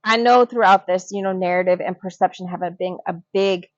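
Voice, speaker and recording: A woman's voice: fairly low pitch, fairly even intonation, a little fast, dry recording. A woman hosting a podcast interview, asking questions and encouraging her guest with frequent short affirmations. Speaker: podcast host. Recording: dull lo-fi sound.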